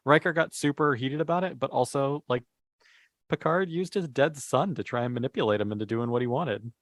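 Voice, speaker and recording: A man's voice: medium pitch, fairly quick, no room sound, studio quality. A man giving casual, analytical opinions as a panelist on a podcast.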